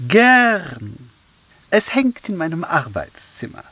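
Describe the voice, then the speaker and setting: A man acting a smug, callous wealthy gentleman in a German audio drama, with affected, mock-courteous theatrical delivery. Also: a little high, wildly swooping pitch, slow pace, long reverb tail, background noise, full character voice. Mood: smugly courteous.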